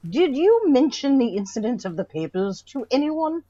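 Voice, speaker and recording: A woman acts a commanding courtroom examiner in a radio mystery, with a highish, animated voice. She is formal and precise, recorded dry and slightly dull. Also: moderate pace. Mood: formal, probing. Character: stern, formal inquest official questioning witnesses. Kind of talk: audio drama acting.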